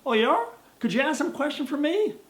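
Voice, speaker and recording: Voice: sits high, sing-song, fast, a bit bright, voice pushed up. A storyteller doing a puny, friendly little talking tree. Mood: curious. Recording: roomy echo.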